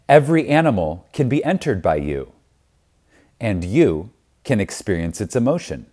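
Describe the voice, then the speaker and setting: A man reading a spiritual lecture aloud in a reverent, deliberate manner, with pauses and emphasis. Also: on the low side, dark tone. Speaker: reader of a lecture.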